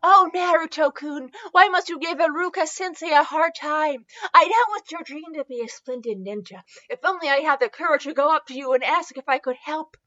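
A woman's voice: sits high, moderate pace, few pauses, pushed and bright, muffled recording, voice pushed up. A woman voicing a shy, lovestruck young schoolgirl, timid and wistful, in a story. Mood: wistful longing.

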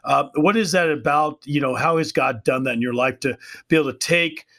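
A man asking unscripted interview questions in a halting, repetitive way. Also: fairly high pitch, fairly bright tone.

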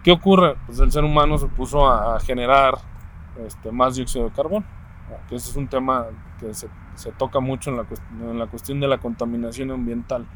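A man in his thirties with a Mexican accent, thinking aloud and explaining ideas step by step, often pausing to find the word. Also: mid-range voice, steady tone, a bit bright, slight background noise. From Mexico City, Mexico. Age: 30s. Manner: thoughtful, explains step by step with frequent hesitations. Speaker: interviewee. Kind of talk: casual conversation.

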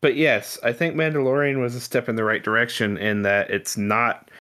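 A man's voice: mid-range voice, steady tone, moderate pace, bright, forceful tone, clean tone. A man co-hosting a podcast, chatting about film and TV in an informal, rambling way with casual swearing. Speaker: podcast host.

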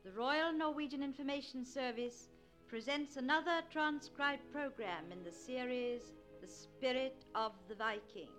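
A woman's voice: high-pitched, fairly bright tone. A woman announcer formally introducing a 1940s radio programme. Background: music.

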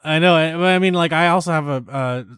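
A man's voice: on the high side, moderate pace, fairly bright tone, clear, resonant tone, no echo, clean studio sound. A man co-hosting a podcast, chatting in an easygoing way with lots of fillers and upbeat asides. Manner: easygoing, filler-heavy, enthusiastic. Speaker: podcast co-host. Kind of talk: casual chat.

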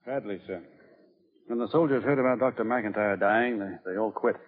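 An actor playing a stern, weary, commanding army doctor with a Southern US accent in an old film drama. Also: male voice, on the low side, moderate pace, long reverb tail, close to an everyday voice. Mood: grave. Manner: forceful, clipped orders. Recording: muffled, narrow-band old film soundtrack.

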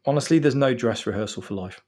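A man's voice: medium pitch, fast talker, a little mellow. A man from England in his forties, a guest on an interview podcast, chatty and self-deprecating as he gives advice and tells stories.

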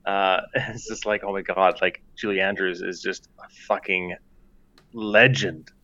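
A man's voice: deep voice, fairly even intonation, a little slow, bright, forceful tone, muffled recording. A man co-hosting a casual podcast, rambling and profane, laughing often. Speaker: podcast co-host. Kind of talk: casual chat.

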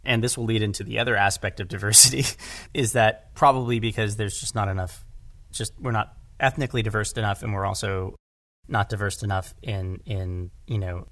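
A man's voice: on the low side, fairly even intonation, fairly quick, fairly bright tone, no echo. A man talking casually in an interview as an audio drama director, rambling and often correcting himself with emphasis.

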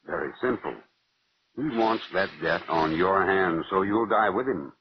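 A low-voiced, insistent confidant. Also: male voice, a little slow, a little hiss or hum. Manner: insistent, dramatic. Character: urgent male confidant warning his darling. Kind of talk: 1940s radio drama acting. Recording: muffled old radio recording.

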